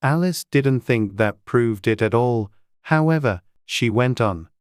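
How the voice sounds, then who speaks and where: A woman narrates a scripted storybook. Her voice is extremely low and her pace is slow and measured. The recording is dry, with no audible room. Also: animated, darkish tone, no echo. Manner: measured, unhurried. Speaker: audiobook narrator.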